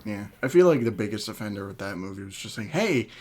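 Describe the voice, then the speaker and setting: A man's voice: lowish voice, lively intonation, quick pace, a little mellow, a little hiss or hum. A man in a casual, opinionated podcast chat, sweary and jokingly exasperated, often laughing. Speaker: podcast host.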